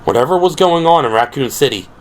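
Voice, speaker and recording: A man's voice: fairly high pitch, lively intonation, fast talker. A man reading scripted, dramatic prose as the narrator of an audio drama. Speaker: narrator and performer.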